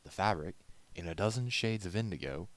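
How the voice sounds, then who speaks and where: A man reading a story aloud as scripted narration, in a measured, literary storytelling manner. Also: deep voice, expressive, fairly bright tone. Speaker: amateur audiobook reader.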